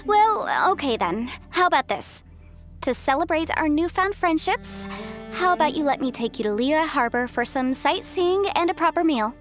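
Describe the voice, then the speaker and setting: A woman's voice: high voice, animated, moderate pace. A woman playing an earnest, kindly and cheerful young woman in a video game, speaking politely and sincerely. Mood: friendly. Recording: faint music behind the voice.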